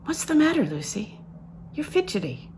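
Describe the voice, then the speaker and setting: A self-satisfied young businessman from a novel, read by a woman, speaks with assurance and a knowing air. The pitch moves in broad swings, with faint noise behind it. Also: very animated, moderate pace, darkish tone. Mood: confident.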